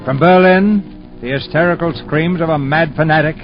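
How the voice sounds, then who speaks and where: Formal 1940s radio announcer. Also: male voice, a little high, moderate pace. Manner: formal, dramatic scripted narration. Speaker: announcer and narrator. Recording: muffled 1940s radio broadcast over music.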